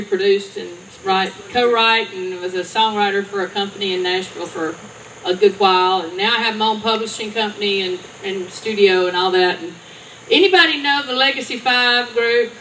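A woman, talking about her songwriting career on an outdoor festival stage, chatty and quick to share. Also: medium pitch, steady tone, a bit bright. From Alabama. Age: 50s. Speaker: songwriter contestant. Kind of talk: onstage interview. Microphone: handheld mic. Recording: distant mic with faint outdoor noise.

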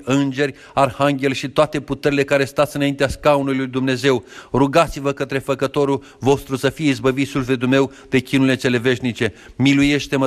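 A man reading a long Orthodox penitential prayer aloud in a steady, even recitation. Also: mid-range voice.

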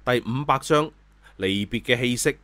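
A man with a Cantonese accent narrating a story in a lively, colloquial scripted style. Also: mid-range voice, moderate pace, no room sound. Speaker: audiobook storyteller. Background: background music.

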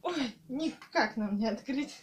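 Casting: young mother, high and very animated voice, encouraging child-directed chat, recorded over loud household noise. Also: slow. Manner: sing-song, encouraging way of talking to small children. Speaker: mother hosting a children's unboxing vlog. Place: small living room at home. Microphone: handheld camera or phone mic, behind the camera. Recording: noisy home phone-camera recording.

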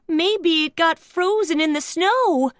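A woman voicing a worried, friendly toy snowman in a children's storybook, with fretful, drawn-out phrasing. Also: very high-pitched, dry recording, clean studio sound, voice pushed up. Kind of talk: children's storybook character reading.

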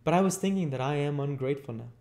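A man's voice: a little high, racing, a little mellow, voice pushed up, close to an everyday voice. A man voicing a frail, eager orphan boy in a storybook reading, earnest and gushing with gratitude. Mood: earnest, grateful.

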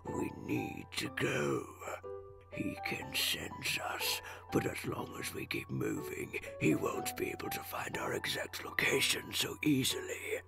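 A man voicing a worried, weary skeleton in a comic dub, half-whispering in a breathy, urgent way. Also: medium pitch, sing-song, unhurried, full character voice. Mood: hushed, urgent. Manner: hushed, urgent. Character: worried, weary male skeleton urging someone onward. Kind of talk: comic dub character acting. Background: faint music.

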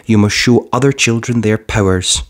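A man's voice: on the low side, moderate pace, natural voice. A man voicing a sincere, caring nine-year-old boy in a children's storybook, with an earnest lilt. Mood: caring reassurance.

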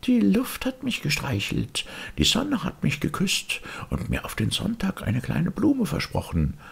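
A man voicing a dainty, chirpy little flower in a storybook, in a sweet falsetto. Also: huge pitch swings, unhurried, vocal fry, husky, full character voice. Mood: delighted. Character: dainty, chirpy carnation shoots in a pot.

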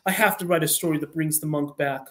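A man with a high voice gives interview answers as a guest writer on an online call. He is earnest and tells things as a story, often halting and restarting his phrases. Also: steady tone, fairly bright tone. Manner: earnest, halting, self-correcting.

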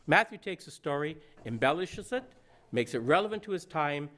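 An older man in his sixties preaching in a church sanctuary in a folksy, storytelling way. His voice sits a little high for a man, and he drifts into snatches of song. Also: lively intonation, normal speed, a bit bright. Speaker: minister. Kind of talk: storytelling sermon.

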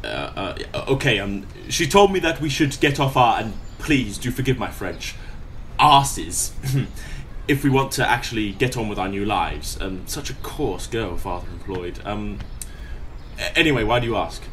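An actor playing a pompous, inept posh British brother in a radio comedy, grandiose and formal but hesitant. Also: male voice, lowish voice, expressive, moderate pace, a bit bright, a little hiss or hum. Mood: hesitant, fussy. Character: pompous, inept posh brother running a failing antique shop.

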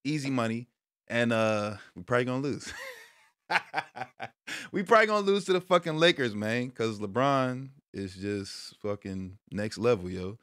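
A man in his thirties from Brooklyn, hosting a casual sports talk stream from a small room at home, switching between loud hype and low muttered asides. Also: expressive, normal speed, no echo, clean studio sound. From Brooklyn, New York. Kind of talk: casual monologue to viewers.

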